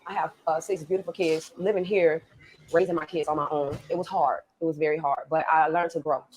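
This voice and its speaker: A woman's voice: sits low, fairly even intonation, brisk. A woman giving a personal account as a resident interviewed for a documentary. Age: adult. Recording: noisy documentary interview clip.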